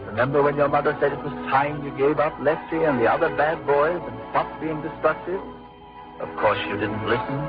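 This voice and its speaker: A middle-aged American man giving nostalgic, scripted radio narration in a wistful storytelling manner. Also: fairly high pitch. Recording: dull vintage radio recording with music.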